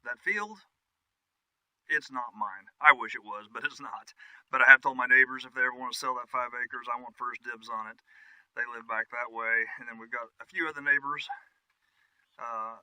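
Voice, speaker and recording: Bright-voiced man hosting an outdoor vlog. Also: mid-range voice, no echo, rough recording. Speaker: vlog host. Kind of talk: casual outdoor property tour to camera. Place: outdoors on wooded rural land. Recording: music behind the voice.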